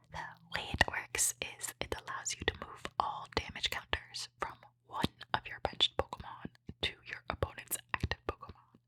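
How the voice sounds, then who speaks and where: A woman whispers softly and without voicing, in a bright, breathy tone that sits close and dry on the microphone. Her delivery is gentle and appreciative, in the style of an ASMR host talking through trading cards. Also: no room sound. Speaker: host opening trading card packs. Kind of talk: whispered ASMR card commentary.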